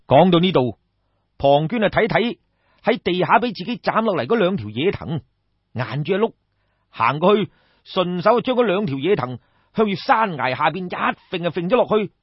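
A man with a Cantonese accent telling a folk tale as an animated audiobook storyteller. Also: high voice, normal speed, slightly rough, no echo, highs cut off.

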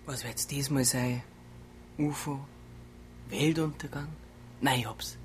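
Actor as slow, sardonic policeman. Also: medium pitch, a little hiss or hum, lightly acted. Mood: mocking. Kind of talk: naturalistic radio drama dialogue.

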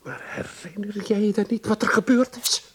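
An actor playing a sociable middle-aged husband in a radio drama, theatrical and emphatic. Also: male voice, high-pitched, fairly even intonation, moderate pace, fairly bright tone, husky. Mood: exasperated. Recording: music behind the voice.

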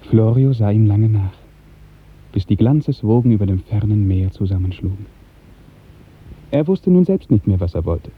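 A man narrating a literary radio drama in 1950s style, with measured, expressive storytelling. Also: on the low side, breathy edge. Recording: muffled, tinny 1950s radio recording.

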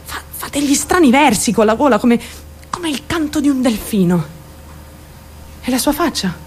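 A woman's voice: high voice, expressive, moderate pace. An actress playing a troubled, volatile young woman in a dramatic radio monologue, intense and raw as she recounts her traumatic story. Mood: dazed, unsettled. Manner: intense, swinging between outcry and hushed narration.

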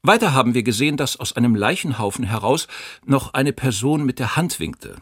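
An actor playing a wartime perpetrator who gives formal, factual eyewitness testimony in a documentary radio drama. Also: male voice, mid-range voice, big pitch swings, brisk, slightly rough, no room sound, studio quality. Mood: formal, detached.

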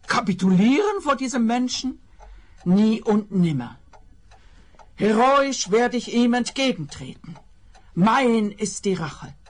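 A woman's voice: on the high side, lively intonation, takes it slowly. An actress playing a paranoid, self-righteous old woman in a radio play, declaiming her lines theatrically. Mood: defiant, grandiose. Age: older. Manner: declamatory, melodramatic.

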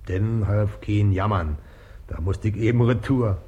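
An actor plays a stolid, evasive bargeman in a radio comedy. His voice is on the low side, and he talks in plain, stubborn Berlin-Brandenburg dialect. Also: measured pace, dark tone, muffled recording, natural voice. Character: stolid, evasive working-class male river bargeman. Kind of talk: stage-comedy radio acting.

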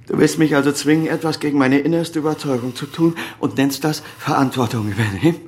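A man's voice: a little high, brisk, darkish tone, a little hiss or hum, lightly acted. An actor playing a proud, evasive surgeon and father of a grown son, speaking in an authoritative, articulate way in a German radio crime drama. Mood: scornful, tense.

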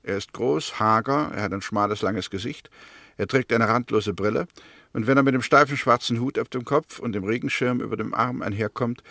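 A man acting in a 1960s German radio play as a probing, sceptical Englishman who sets out a murder case in a deliberate, precise way. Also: on the low side, fairly bright tone, dry recording. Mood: measured, descriptive.

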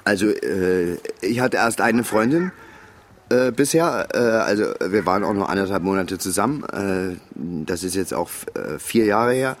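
An actor playing an awkward East German corporal of about thirty, stammering and deferential, in a radio drama. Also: male voice, on the low side, a little slow, close to an everyday voice. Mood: embarrassed. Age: 30s.

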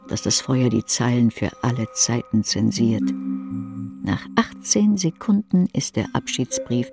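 A man's voice: mid-range voice, gravelly. A man narrating literary prose in the second person, in a terse, imperative way.

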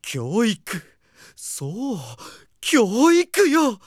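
An actor playing a flamboyant, effeminate middle-aged male teacher of performing arts in an audio drama, with campy feminine speech and dramatic pauses. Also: very high-pitched, huge pitch swings, no echo, studio quality, full character voice. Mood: triumphant. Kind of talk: anime audio drama acting.

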